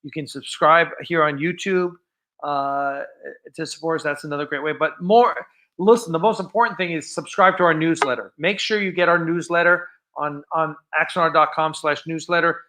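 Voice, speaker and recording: A man hosting a casual, unscripted livestream, talking in a halting, rambling way and often interrupting himself. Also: on the high side, steady tone, pushed and bright. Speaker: livestream host.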